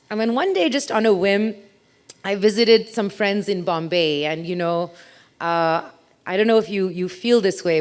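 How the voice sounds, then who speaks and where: A woman in her thirties from India, with an English accent mixed from a childhood abroad, giving a chatty, self-mocking talk on stage. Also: lowish voice. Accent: mixed international English, from a childhood abroad. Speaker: speaker. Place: stage talk to a live audience.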